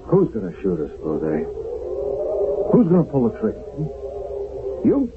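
An actor playing a tough, level-headed American sergeant held captive in a radio drama, plain-spoken and challenging. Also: male voice, on the low side, wildly swooping pitch, measured pace. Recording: muffled narrowband old radio recording, noisy.

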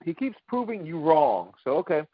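Higher-voiced man debating, dry dull audio. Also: big pitch swings, moderate pace, husky, no echo. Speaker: talk show panelist. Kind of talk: casual sports and current affairs debate.

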